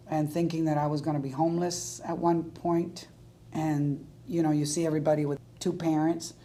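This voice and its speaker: Sixties woman with a gravelly voice. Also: sits low, warm tone. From Cuba. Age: 60s. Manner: chatty, laughs easily. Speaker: senior interview participant. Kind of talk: casual conversation.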